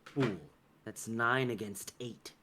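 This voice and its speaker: A man's voice: mid-range voice, steady tone, noisy background, lightly acted. A man playing an arrogant, scornful castle overlord who talks down to challengers in a dramatic script reading. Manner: condescending, measured.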